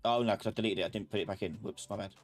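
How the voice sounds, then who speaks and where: A man with a fairly low voice leads a live software class in an informal way. He explains each step while he works, often muttering his thoughts aloud. Soft music plays behind him along with keyboard typing. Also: very fast. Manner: informal, thinking aloud, talking to himself. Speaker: instructor of a live online class.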